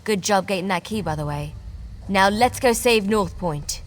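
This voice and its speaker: Brief: a woman doing a dry-witted veteran fighter woman, game companion, steady mid-range voice. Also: medium pitch, normal speed, natural voice. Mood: wry. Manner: dry, sarcastic. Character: wry seasoned woman warrior companion. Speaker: game character.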